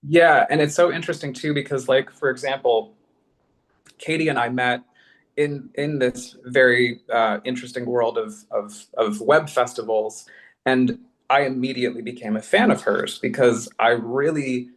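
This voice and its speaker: A man's voice: mid-range voice, steady tone, moderate pace. A man speaking as a writer on a panel, reflective and casual, effusive about others and self-deprecating, often circling back over his phrases.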